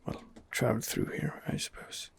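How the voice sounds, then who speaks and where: A man's voice: moderate pace, a little hiss or hum. A man giving whispered ASMR commentary on a game as its host, in a hesitant, rambling manner. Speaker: gaming video host.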